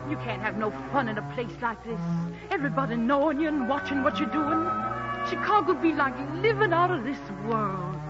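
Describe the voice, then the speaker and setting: A woman's voice: sits high, animated, brisk, lo-fi sound, over loud music. An actress in a 1940s radio musical playing a bold young woman who coaxes and insists. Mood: coaxing.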